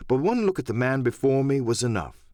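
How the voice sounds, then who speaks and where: A man's voice: mid-range voice, very animated, fairly quick, mellow, no room sound, clean studio sound. A man narrating an adventure audiobook in a dramatic first-person storytelling style.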